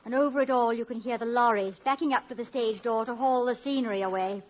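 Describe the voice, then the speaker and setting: An actress playing a college president's tender, witty wife, a former stage actress with a British accent and poised diction, in a 1950s radio drama. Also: female voice, a little high, quick pace, close to an everyday voice. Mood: tender, wry. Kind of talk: 1950s American radio comedy-drama acting. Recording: muffled old radio recording.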